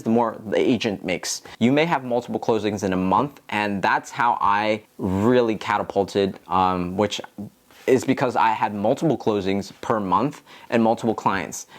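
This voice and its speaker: A man with an American accent talking casually to viewers as a tutorial host, in an earnest, encouraging, explanatory way. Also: medium pitch. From Philadelphia, United States. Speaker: real estate agent and video host.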